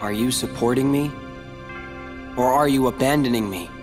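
An actor playing a calm, determined teenage boy hero in a video game, with a dry, brooding way of talking. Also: medium pitch, normal speed, close to an everyday voice. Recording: game audio with reverb and loud music.

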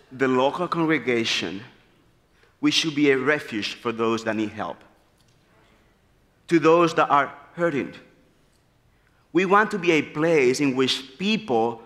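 A man in his thirties from the Republic, preaching an earnest, animated sermon at a church pulpit. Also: medium pitch. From Dominican Republic. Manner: animated, gesturing freely, earnest storytelling. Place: large church sanctuary, at a pulpit. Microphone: pulpit mic. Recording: long church hall reverb.

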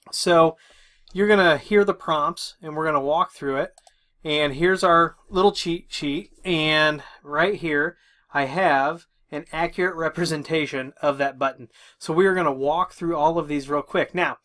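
Man, middle-aged tutorial host, casual step-by-step delivery, pitched a bit high. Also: pushed and bright. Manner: informal, talks through each step as doing it. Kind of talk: casual instructional walkthrough. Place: small room with tech equipment, indoors.

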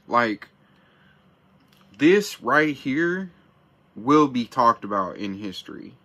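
A man in his thirties with a bright, forceful voice at an ordinary pitch, talking up a gadget in street slang and cursing freely. He sits in a cramped camper van with the camera close in front of him. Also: sing-song, slow. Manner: hyped, slangy, swears a lot. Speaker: product reviewer. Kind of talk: casual profane review vlog. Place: small camper van interior.

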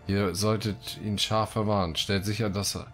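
Low-pitched man commentating a game. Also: little pitch movement, moderate pace, a bit bright, faint music behind. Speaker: let's play host. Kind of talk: casual gameplay commentary with read-out quest texts.

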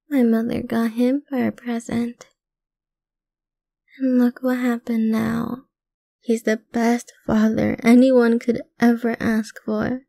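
Slow, dark, hushed yandere mafia princess, acted by a woman. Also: medium pitch, no room sound. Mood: calmly menacing. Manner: soft, breathy, slow, often dropping into whispers. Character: possessive, sweetly menacing lovesick daughter of a mafia boss. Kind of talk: ASMR roleplay. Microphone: close mic, dry.

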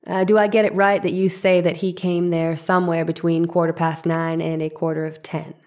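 Low-voiced woman as careful defense lawyer. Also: little pitch movement, a little fast, a little mellow, lightly acted. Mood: courteous, insistent. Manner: formal, precise questioning. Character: courteous, careful male 1890s defense lawyer. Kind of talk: dramatic reading of trial transcript.

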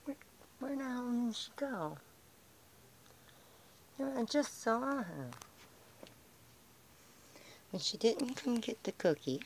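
Hushed, chatty American woman outdoors. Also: on the high side, expressive, slow, long pauses, slight background noise. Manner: hushed, chatty, talking to the animals. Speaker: vlogger feeding squirrels. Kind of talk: casual commentary while filming. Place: outdoors by a house, on snowy steps. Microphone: handheld camera.

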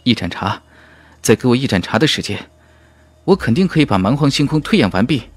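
A man voicing a defiant, determined young male cultivator hero in an audiobook, forceful and intense. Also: mid-range voice, animated, husky, no echo. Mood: urgent, determined. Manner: forceful, intense, quick exclamations. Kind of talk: audiobook character acting.

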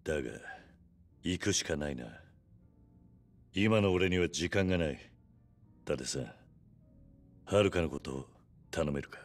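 A man's voice: rumbling low, a little slow, long pauses, faint music behind. An actor playing a stoic, resolute yakuza man in a Japanese video game cutscene, speaking tersely. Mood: calm, grave. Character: stoic, resolute middle-aged yakuza man, tough and composed. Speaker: video game lead character.

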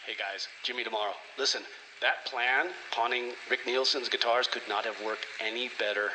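A man's voice: mid-range voice, steady tone, normal speed, natural voice, rough recording. A man playing a sly, chummy rock roadie, talking in a casual, self-satisfied way in comedy radio theater. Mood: smug. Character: sly, chummy male rock roadie and small-time schemer. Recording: music playing behind the voice.

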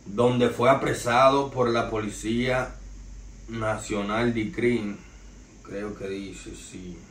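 A young man with a Dominican Spanish accent rambles through celebrity gossip and street stories from his sofa, with a fairly low voice. He was recorded by a camera across a small, bare room, so his voice sounds distant and roomy under faint hiss. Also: steady tone, takes it slowly. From Dominican Republic. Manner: loose, street-slang storytelling, addresses "señores". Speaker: podcast host. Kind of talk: casual entertainment news commentary. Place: small living room, indoors, seated on a sofa. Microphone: far camera, no mic seen. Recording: roomy echo, distant mic, faint noise.

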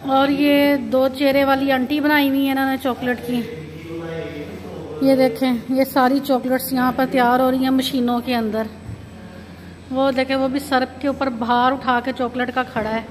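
A woman from Pakistan narrates a casual vlog tour into a handheld phone. Her voice sits high and stays fairly even in pitch, and she keeps drawing the viewer's attention to each thing she sees. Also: moderate pace, a little hiss or hum, poor audio. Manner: pointing things out, repeating "look at this". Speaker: vlogger. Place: chocolate shop and busy shopping street.

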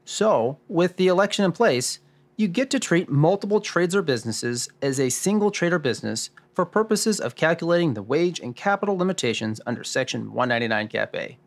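A man's voice: a little high, expressive, fairly quick, vocal fry. A man playing a wry, wordy tax sleuth who narrates his own thoughts in a noir radio drama pastiche. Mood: matter-of-fact. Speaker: host and narrator.

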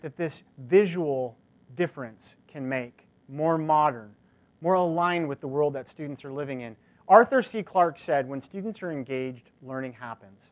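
A man in his forties giving a casual, energetic conference keynote, chatty and full of "like" fillers, in a large lecture hall. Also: on the high side. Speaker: keynote speaker. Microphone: lapel mic.

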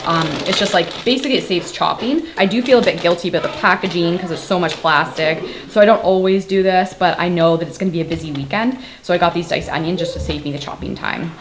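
A woman's voice: on the low side, quick pace, hardly any pauses. A woman from Canada in her thirties, chatting casually through a grocery haul in her home kitchen, full of "like" and "um" fillers. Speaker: vlogger. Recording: clear background noise.

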